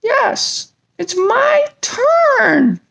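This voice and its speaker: Lady doing a shy little girl dragon for kids storytime, super high and slow, stumbles reading, really polite. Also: very animated, vocal fry, a bit bright, exaggerated voice. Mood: grateful, eager. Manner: halting, stumbles sounding out words.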